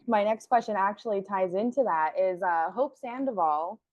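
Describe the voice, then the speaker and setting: A woman's voice: medium pitch, moderate pace, darkish tone, dry recording. A woman interviewer asking careful, polite questions and affirming her guest. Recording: dull, lo-fi dry audio.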